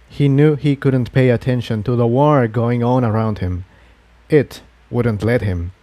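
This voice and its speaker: A man's voice: medium pitch, darkish tone, no echo. A man reading scripted adventure fiction aloud as a dramatic story narrator.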